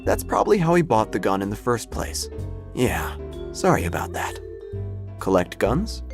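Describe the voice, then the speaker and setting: A man playing a quirky small-town obituary writer who narrates a comedic audio drama in a deadpan, theatrical, precisely enunciated way, solemnly absurd and nosy. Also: on the low side, normal speed. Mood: dry, formal. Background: music.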